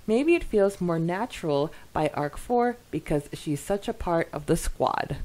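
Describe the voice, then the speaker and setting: A woman with a slightly low-pitched voice co-hosts a podcast. She reads listener comments and chats casually, with an expressive, giggly manner and frequent exclamations. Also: unhurried. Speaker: podcast co-host.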